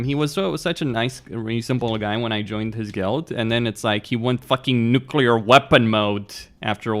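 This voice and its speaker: A man's voice: mid-range voice, a bit bright. A young man from Romania, streaming a game and chatting bluntly with viewers, laughing often and swearing freely. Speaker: gaming streamer. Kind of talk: casual live-stream chat, answering viewers.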